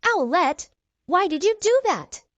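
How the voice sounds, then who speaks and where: A woman's voice: very high-pitched, very animated, measured pace, fairly bright tone, dry recording, dull audio, voice pushed up, exaggerated voice. A woman voicing a cheeky, confident young boy superhero who leads his team in a storybook, breezy and insistent. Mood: pleading.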